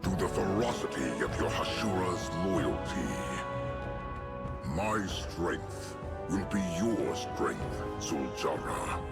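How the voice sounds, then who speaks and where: A man playing an ancient, solemn troll spirit in a video game, gravelly, grand and ceremonial as he bestows favor. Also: sits low, very animated, full character voice. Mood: solemn, majestic. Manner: slow, grand, ceremonial delivery. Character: ancient, solemn male troll spirit granting his blessing. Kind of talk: video game cinematic acting. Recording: loud game music behind.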